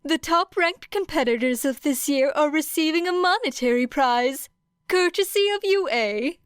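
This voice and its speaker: A woman's voice: high-pitched, lively intonation, moderate pace, no echo, clean studio sound, voice pushed up. A woman voicing a courteous, shrewd, upbeat animal school principal in an audiobook, with polite, formal phrasing. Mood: gleeful, triumphant. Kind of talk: acted audiobook dialogue.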